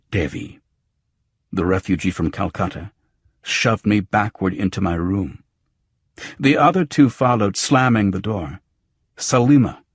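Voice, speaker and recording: A man's voice: sits low, slightly rough, no room sound, studio quality. A man reading a first-person noir audiobook, telling the story in a dramatic manner with emphatic swells. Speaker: audiobook narrator.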